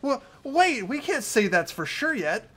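A woman's voice: fairly high pitch, big pitch swings, unhurried, husky, soft background music, full character voice. A woman voicing an earnest, hesitant ordinary teenage boy in a visual novel dub. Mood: doubtful, earnest.

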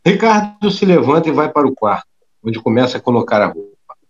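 A man's voice: medium pitch, big pitch swings, a little fast, breathy edge, highs cut off. A man narrating an audio drama from a script, describing the action.

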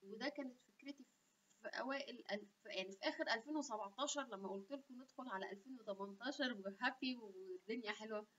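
Young woman, Egyptian accent, fairly high voice, lively chatty explainer, dull webcam audio, small room. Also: racing. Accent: Egyptian Arabic. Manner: animated, gestures a lot, chatty with asides. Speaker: health channel host. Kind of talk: casual explanatory vlog.

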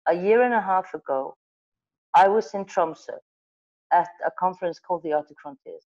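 A woman's voice: on the low side, moderate pace, muffled recording. A middle-aged Icelandic woman, a magazine editor, telling stories animatedly over a video call with an earbud mic, often restarting her sentences. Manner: animated storyteller, gestures, restarts and self-corrections. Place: room with wall of photos. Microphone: earbud headset mic on video call.

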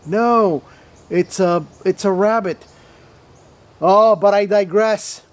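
Slow, high-voiced man demonstrating airbrushes. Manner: rambling, many fillers and restarts, playful asides. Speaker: host of an airbrush tutorial show. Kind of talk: casual product demonstration. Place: indoor table-top studio, hands-on demo.

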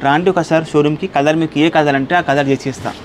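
Very fast-talking Hyderabad Telugu salesman. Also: a little high, fairly even intonation. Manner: repetitive, insistent salesman patter mixing in English words. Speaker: furniture shop owner and salesman. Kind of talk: unscripted sales pitch in a product showcase. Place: large indoor furniture showroom. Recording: clear background room noise.

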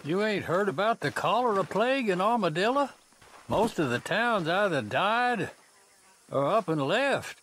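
A high, slow-drawling old undertaker from a video game. He is folksy and morbid with a twang, and his pitch swoops widely as he talks. There is slight noise behind him. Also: sing-song, a bit bright, full character voice. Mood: matter-of-fact. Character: folksy, morbid old frontier undertaker, wary but mercenary. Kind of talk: western video game acting.

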